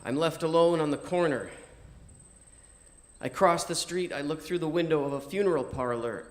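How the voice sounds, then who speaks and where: A man reading a first-person story as narrator in a measured, theatrical, declamatory manner. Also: on the high side. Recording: music behind the voice. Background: music.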